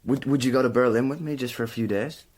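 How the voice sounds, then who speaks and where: A man's voice: mid-range voice, fast, a little mellow, natural voice. An actor playing a needy, hesitant young male runaway in a radio drama, tentative and pleading in manner.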